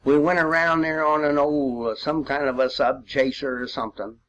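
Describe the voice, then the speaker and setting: A man's voice: on the high side, steady tone, normal speed, fairly bright tone, dull audio. An elderly man, a war veteran reminiscing informally in a folksy, rambling way with old-time expressions. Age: 80s or older. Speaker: World War II veteran sharing memories.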